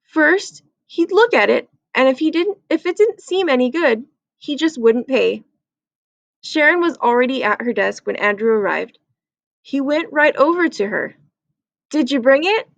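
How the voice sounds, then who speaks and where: A woman's voice: high-pitched, lively intonation, normal speed, vocal fry, no echo. A woman reading a children's book aloud as a storyteller.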